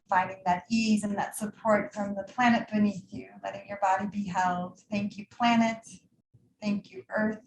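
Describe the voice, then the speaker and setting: A woman teaching a guided yoga nidra meditation in a soothing, unhurried way, leaving pauses between trailing phrases. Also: mid-range voice, reverberant, a little hiss or hum, low-quality recording.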